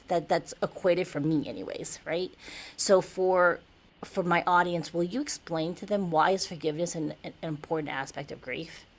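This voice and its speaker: Soft-spoken woman podcast host. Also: on the low side. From Taiwan. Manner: conversational, searching for words mid-sentence; enthusiastic scripted intro. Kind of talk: podcast interview.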